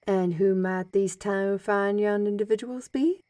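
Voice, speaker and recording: A woman playing a towering half-blood moose teacher, measured and gently instructive, in an audio drama. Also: medium pitch, no echo, clean studio sound, natural voice. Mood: calm, kindly.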